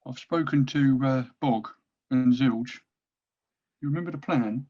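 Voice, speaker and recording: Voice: medium pitch, a little slow, mellow, highs cut off, natural voice. A performer playing a cowardly, exasperated male orc captain plotting in secret at a table read. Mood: weary, secretive.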